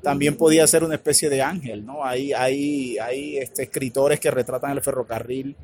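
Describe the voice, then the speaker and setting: A man with a Colombian Caribbean accent, answering interview questions in a halting, thoughtful way with many filler words. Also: mid-range voice, fairly even intonation, a little mellow, a little hiss or hum. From Colombia's Caribbean region. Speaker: author and academic guest. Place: radio booth at a book fair stand.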